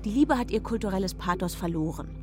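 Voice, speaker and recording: A woman's voice: mid-range voice, expressive, fast. A woman reading a scripted, reflective text. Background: music.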